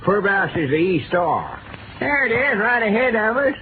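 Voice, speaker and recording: A man's voice: high voice, big pitch swings, moderate pace. An actor playing a kindly, folksy rural man with a Southern American accent in 1940s radio drama style. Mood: neighborly. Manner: folksy, homespun country talk. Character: kindly rural old-timers trudging through snow on charity errand. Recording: tinny, noisy old radio broadcast recording.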